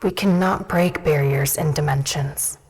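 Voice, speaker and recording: A woman playing a stern, guarded warrior of an ancient dragon-blooded race in a fantasy audio drama, speaking in a measured, commanding way. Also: sits low, darkish tone, slightly rough. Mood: guarded, questioning.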